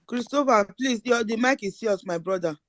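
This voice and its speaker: A woman's voice: on the high side, normal speed, no room sound. A woman hosts an online audio room, politely calling speakers up and handing them the mic. The sound is dry and close. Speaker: host.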